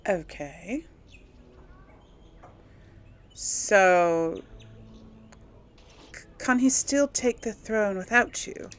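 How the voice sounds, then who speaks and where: A woman hosting a casual video game role play, speaking her character's lines in a thoughtful, unhurried way. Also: medium pitch, expressive. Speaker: let's play host, role-playing the player character.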